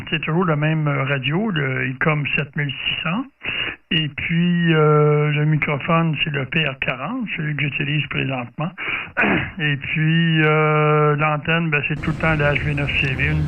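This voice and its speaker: A man with a Quebec French accent chatting casually as an amateur radio operator, in a halting, hesitant way. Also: on the high side, vocal fry. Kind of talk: casual radio contact chat. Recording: tinny band-limited radio audio, distant from mic.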